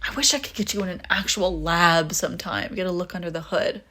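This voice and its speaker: A woman's voice: lowish voice, fairly quick, pushed and bright. Wry, teasing young vampire woman, acted by a woman. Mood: enthusiastic, teasing. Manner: casual, wry, teasing, swearing freely. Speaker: audio roleplay voice actor. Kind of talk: intimate scripted audio roleplay, conversational.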